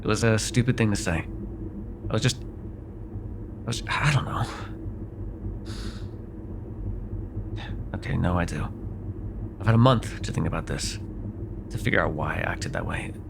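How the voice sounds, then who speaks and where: A man playing a sarcastic vampire with a soft spot in an ASMR roleplay, talking in a teasing, conversational way with sighs and hesitations. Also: low-pitched, moderate pace, gravelly, long pauses, noisy background. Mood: awkward, hesitant. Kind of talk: immersive ASMR roleplay acting.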